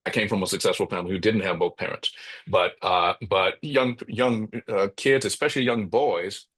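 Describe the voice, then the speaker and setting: A middle-aged man with a bass voice, speaking reflectively as an interview guest, often checking in with "right?" and restarting his phrases. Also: moderate pace, a bit bright, slightly rough. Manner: thoughtful, frequent "right?" tags and restarts. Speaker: podcast guest.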